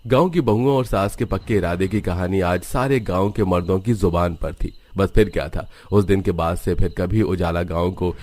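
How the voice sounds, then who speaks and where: A man's voice: low-pitched, normal speed, few pauses, darkish tone, slight background noise. A man narrating a scripted cartoon village story as its storyteller.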